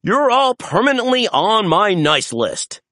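Jolly old father christmas type done by a storybook reader, hearty, husky, pitch swinging all over. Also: big pitch swings, unhurried, bright, forceful tone, dry recording, studio quality, full character voice. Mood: concerned. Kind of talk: children's storybook character reading.

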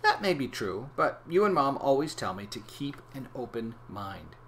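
A man's voice: mid-range voice, sing-song, moderate pace, fairly bright tone, a little hiss or hum. Man voicing an earnest, grand storybook schoolgirl. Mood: proudly earnest. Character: earnest, dramatic young schoolgirl heroine of a children's storybook.

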